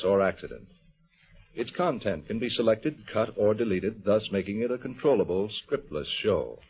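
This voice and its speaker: A low-voiced male announcer delivers a scripted vintage radio pitch. He is formal and declarative, with strong swings in intonation and a very gravelly, unclear tone. The recording is muffled. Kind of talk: scripted mid-century radio sales pitch.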